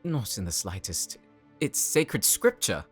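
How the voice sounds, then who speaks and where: A man's voice: on the low side, big pitch swings, slow pace, loud music behind the voice. A man voicing an earnest, homesick tech worker from a space colony in an audio roleplay, explaining things in a sometimes faltering way. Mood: explanatory. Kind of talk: anime-style audio roleplay acting.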